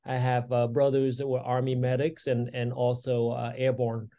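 A man's voice: medium pitch, completely flat delivery, normal speed, warm tone. A man in his sixties, born in Vietnam, speaking earnestly as a podcast guest, with frequent fillers and restarts. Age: 60s. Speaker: guest, state legislator. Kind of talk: casual interview talk.

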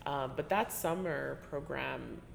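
A woman interviewing a colleague in a relaxed, chatty way, often trailing off and restarting and laughing as she talks. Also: extremely low pitch, slow, gravelly, slight background noise. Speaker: interviewer, teaching artist. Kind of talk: conversational interview. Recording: reverberant room with faint ambience.

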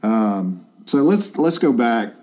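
A man's voice: mid-range voice, sing-song, slow, a little mellow. A man in his fifties, a journalist hosting a casual interview, chatty and rambling with lots of fillers and restarts. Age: 50s.

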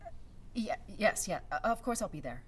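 An actress playing an accommodating, brisk office staffer in a satirical audio drama. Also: female voice, mid-range voice, lively intonation, slightly rough. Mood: politely reassuring.

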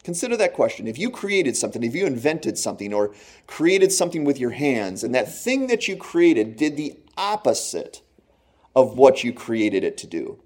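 Pastor, male, warm mellow tone, earnest and conversational, slows down for emphasis. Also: mid-range voice, sing-song. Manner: earnest, conversational preaching with slow emphatic phrases; laughs at own jokes. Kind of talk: sermon.

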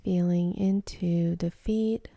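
A woman guides a meditation in a very dark, mellow voice with a gravelly fry at the edges. She speaks softly, close to a whisper, and takes her time with gentle, soothing instructions, leaving generous space between phrases. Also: on the low side, fairly even intonation, no echo. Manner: soothing, gentle, unhurried, with long pauses.

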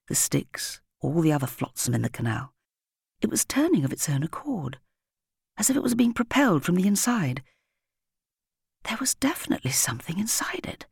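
A woman narrating a children's audiobook in an expressive, dramatic storytelling manner with varied emphasis. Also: fairly low pitch, husky, no echo, clean studio sound.

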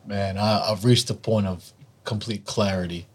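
A man co-hosting a casual backyard podcast, hoarse from cheering, animated and joking with some swearing. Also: fairly low pitch, moderate pace. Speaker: podcast co-host.

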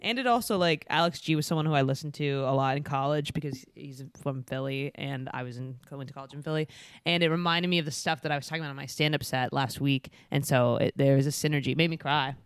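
A man's voice: fairly high pitch, fast, clean tone, no room sound. Young man telling an earnest story. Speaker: podcast co-host, stand-up comedian. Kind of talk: casual chat.

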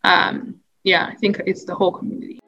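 A woman's voice: medium pitch, flat delivery, fairly quick, gravelly, pushed and bright, dull audio, faint music behind. Earnest young woman from Uganda. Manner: thoughtful, earnest, many fillers and restarts. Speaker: interviewee, anti-racism advocate. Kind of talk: conversational interview answer.

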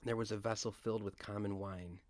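A man leading a guided scripture meditation, speaking in a deliberate, reverent way with pauses. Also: fairly low pitch, steady tone, no room sound. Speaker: guided prayer leader.

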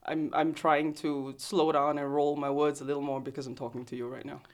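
A woman in her thirties from Singapore, with an accent that mixes Singaporean and Australian, talking about herself in a relaxed interview. She speaks reflectively and often restarts her phrases. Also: deep voice, a little fast. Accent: mixed Singaporean and Australian. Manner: thoughtful, self-deprecating, restarts phrases. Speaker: photographer, interview guest. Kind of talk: casual conversational interview. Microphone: close desk mic.

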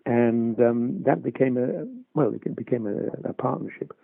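An older actor reminiscing in an interview, hesitant with many restarts and chuckles. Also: male voice, medium pitch, a little slow, gravelly, breathy edge, dry recording. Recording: dry, tinny phone-like line.

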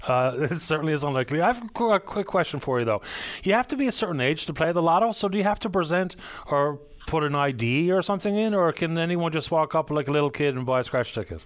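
A man's voice: fairly high pitch, animated, fast. A man from the Ottawa Valley hosting a call-in radio show, chatty and upbeat with callers. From Ottawa Valley, Canada. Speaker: radio host.

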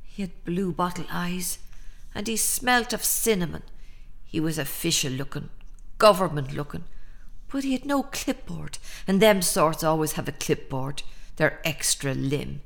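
Slow-speaking, defiant Irish mother. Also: sits low, animated, gravelly, full character voice. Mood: brooding, uneasy. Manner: plain-spoken, indignant, brooding. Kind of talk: audio drama acting.

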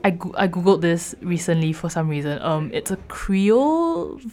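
Thoughtful young woman from Singapore, low-pitched. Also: big pitch swings, normal speed, warm tone. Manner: thoughtful, hesitant, many fillers. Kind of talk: casual conversation.

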